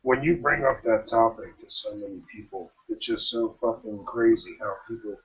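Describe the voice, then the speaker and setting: A man's voice: lowish voice, moderate pace, lo-fi sound, a little hiss or hum. A man hosting a casual online voice chat, laid-back and swearing often.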